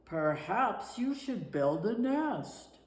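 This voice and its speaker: A woman voicing a tired, patient male storybook companion who gives slow, deliberate advice. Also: medium pitch, sing-song. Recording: roomy, echoing room sound.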